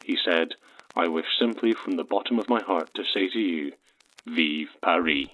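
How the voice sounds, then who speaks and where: An actor playing a formal, measured male newsreader of the 1940s, heard through an old radio filter. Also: low-pitched, very noisy. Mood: solemn, formal. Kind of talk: 1940s radio news bulletin. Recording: muffled old radio broadcast sound.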